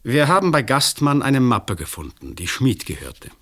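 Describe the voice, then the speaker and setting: A man's voice: mid-range voice, very animated, moderate pace, fairly bright tone. An actor playing a weary, disillusioned male examining magistrate in a German radio play, speaking formally and rhetorically. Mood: sober, resigned.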